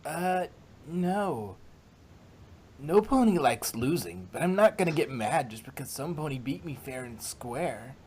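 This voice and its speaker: A reader doing the voice of a blunt young pegasus filly, in quiet, drawn-out lines during an amateur fan story reading. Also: mid-range voice, sing-song, slightly rough. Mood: reassuring.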